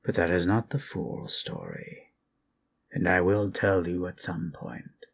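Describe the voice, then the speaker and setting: Guy doing an old undead lich storyteller, super deep n creaky, solemn hushed asmr fantasy lore, some background noise. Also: animated, heavily acted. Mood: somber, confiding. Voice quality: gravelly, creaky. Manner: measured, hushed, solemn, with long pauses. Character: ancient male undead lich, weary scholarly storyteller. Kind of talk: ASMR roleplay, slow improvised fantasy history lesson.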